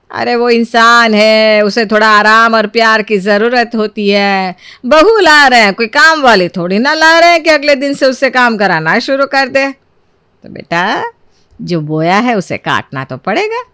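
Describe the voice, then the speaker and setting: A sly elderly mother-in-law from a cartoon family tale, acted out by a woman. The voice sits fairly high and sounds very dry and close. She scolds theatrically, with sarcasm and bossy needling. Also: bright, forceful tone, clean tone, dry recording. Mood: sarcastic scolding.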